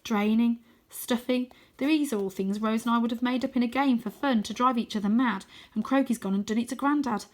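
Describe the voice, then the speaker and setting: A woman's voice: a little high, brisk, few pauses, a little mellow. Woman reading a children's story expressively. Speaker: storybook reader. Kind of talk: expressive children's audiobook narration.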